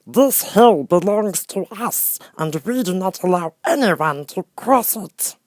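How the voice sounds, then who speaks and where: A man voicing a short, stout, mocking hammerhead creature with a rough, high, defiant voice. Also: lively intonation, takes it slowly, no room sound. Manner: defiant, harsh.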